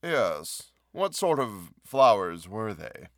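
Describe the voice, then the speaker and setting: Man playing sleek male florist, smug, condescending, slow affected drawl, storybook reading, dry sound. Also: fairly low pitch, sing-song, no room sound, full character voice. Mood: oily politeness. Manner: drawn-out, affected, unctuous delivery.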